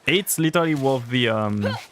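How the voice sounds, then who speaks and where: A man's voice: mid-range voice, lively intonation, moderate pace, fairly bright tone, a little hiss or hum. Rambling French-accented man streaming a game. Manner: chatty, rambling, answers chat. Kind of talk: casual livestream chat while gaming.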